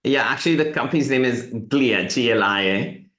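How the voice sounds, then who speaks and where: A middle-aged man from India, a native Hindi speaker, tells stories with energy and slips into other people's voices when he quotes them. His pitch sits in the middle range. The room around him sounds hollow and echoey. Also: moderate pace. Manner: animated storytelling, quotes others in lively voices. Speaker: tech company CEO, guest. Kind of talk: live webinar interview.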